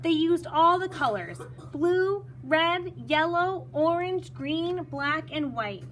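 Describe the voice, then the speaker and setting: A woman reading a children's story aloud in an animated way, acting out dialogue and pausing to explain words. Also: very high voice, a little hiss or hum. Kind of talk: expressive read-aloud with brief explanations for children.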